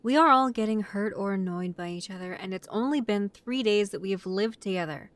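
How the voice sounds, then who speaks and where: A woman's voice: mid-range voice, brisk, lightly acted. A woman playing an exasperated, bossy young vampire college student in a scripted roleplay audio drama, talking in an organized, matter-of-fact way like someone chairing a meeting. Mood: exasperated, stern.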